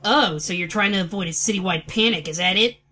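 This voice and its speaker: Woman as bright-voiced challenging young woman. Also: medium pitch, quick pace. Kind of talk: comic-book dub.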